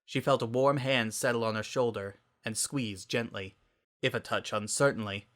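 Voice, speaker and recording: Story narrator reading dramatically, bright crisp edge, mid pitch. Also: fairly even intonation, normal speed.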